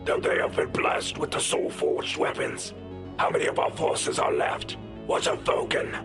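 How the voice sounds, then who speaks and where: A man performs a menacing warlord king for an audio drama. The voice is a harsh, breathy growl with almost no clear pitch, commanding and grandiose. Loud music plays underneath. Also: close to an everyday voice. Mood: grim resolve. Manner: grandiose, imperious, slow pronouncements. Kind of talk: audio drama villain acting.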